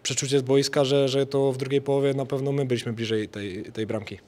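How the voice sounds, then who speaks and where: Give me a hesitant young man in a post-match interview, level steady pitch, into a reporter's mic. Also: quick pace. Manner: hesitant, repeats words, many fillers. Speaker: football player. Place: stadium interview area before sponsor wall. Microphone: handheld reporter mic.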